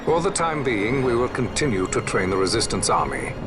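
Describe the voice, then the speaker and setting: An actor playing a grave, shrewd noble resistance leader in a fantasy game, with measured, formal, archaic speech. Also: male voice, mid-range voice, very animated, music in the background, lightly acted. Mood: firm, calculating. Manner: measured, stately, archaic phrasing.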